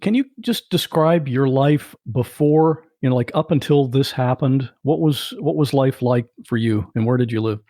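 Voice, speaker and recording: A man hosting a podcast, asking questions in a relaxed, conversational way. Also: medium pitch, moderate pace, few pauses, no echo, studio quality. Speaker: podcast host. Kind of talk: casual interview.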